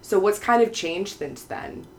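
A woman's voice: mid-range voice, lively intonation, a little slow, creaky. A woman hosting a conversational interview, putting reflective questions in careful, tentative phrasing. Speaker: podcast host.

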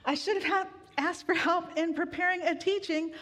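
A woman pastor giving a sermon in a relaxed, conversational way with gentle humor, weary from grief. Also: very high-pitched, moderate pace. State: grieving, tired. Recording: long hall reverb.

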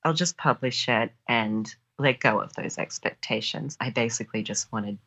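An Australian woman with an exceptionally low-pitched, husky voice that still has a bright, forward edge. She speaks casually as an author in an interview. Her manner is giggly and self-deprecating. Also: normal speed, muffled recording. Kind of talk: casual chat.

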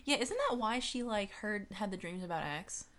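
A woman's voice: mid-range voice, animated, normal speed, fairly bright tone. A woman co-hosting a podcast, chatting casually about books in an informal way. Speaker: podcast co-host. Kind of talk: casual book discussion.

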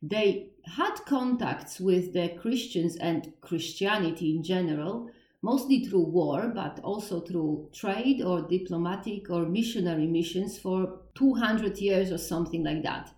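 A woman with a thick Polish accent gives an informal spoken lesson, thinking aloud and pausing to correct herself. She sounds set back from the microphone in a roomy, echoing space. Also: on the low side, darkish tone, distant mic. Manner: thinking aloud, self-deprecating, frequent laughs and restarts. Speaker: educator on Slavic history. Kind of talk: informal explanatory talk.

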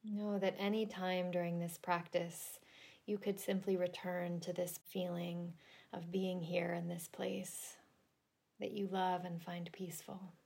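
A woman leading a guided relaxation meditation in a gentle, soothing, deliberate manner with long pauses. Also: lowish voice, level tone, creaky, breathy edge. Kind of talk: guided yoga nidra meditation.

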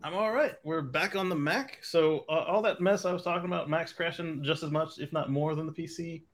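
A man co-hosting a casual podcast, relaxed and joking, laughing easily. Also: fairly high pitch, a little fast. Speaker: podcast co-host. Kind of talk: casual chat.

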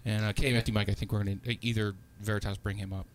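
A man's voice: lowish voice, little pitch movement, rapid-fire, a little hiss or hum. A man hosting a live podcast, talking loosely and laughing often, with frequent restarts. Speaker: podcast host.

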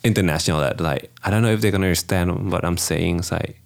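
A man's voice: low voice, fast, creaky. A man from Thailand with a Thai accent, a wedding photographer talking casually in an interview, in loose non-native English. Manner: non-native English with dropped grammar endings, laughs easily.

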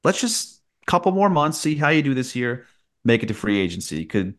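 A man's voice: medium pitch, big pitch swings, fairly quick, fairly bright tone. A man who works as a sports broadcaster, giving conversational sports analysis as a podcast guest.

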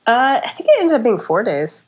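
A woman's voice: a little high, huge pitch swings, fairly quick, breathy edge. A woman speaking casually as a guest in a podcast conversation.

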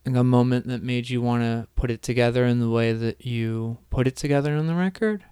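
A man hosting a podcast interview, asking rambling questions and giving quick affirmations. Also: medium pitch, steady tone, dark tone, clean tone, dry recording, studio quality. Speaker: podcast host. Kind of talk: casual interview chat.

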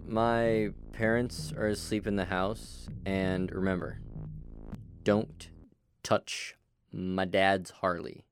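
A man's voice: sits low, level tone, slow pace, faint music behind. A young man acting in an audio drama as a laid-back, slangy bro. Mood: easygoing. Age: young adult. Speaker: actor in a student audio drama.

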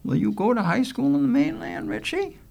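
A man voicing a soft, sympathetic lady schoolteacher who speaks properly and gently in a storybook reading. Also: extremely high pitch, very animated, moderate pace, creaky, pitched above the performer's own voice, exaggerated voice. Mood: gentle, inquiring.

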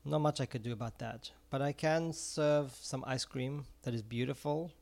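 A man's voice: medium pitch, steady tone, normal speed, creaky, a little mellow. A middle-aged man from Israel, an ice cream vendor and former music teacher, talking earnestly in an interview and often repeating words as he searches for phrasing. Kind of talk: casual interview talk.

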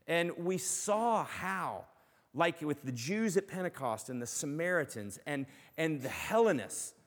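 Earnest preaching man in a reverberant hall. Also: fairly high pitch. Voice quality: loud voice. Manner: earnest, encouraging, with frequent fillers and restarts. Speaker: pastor. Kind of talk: sermon.

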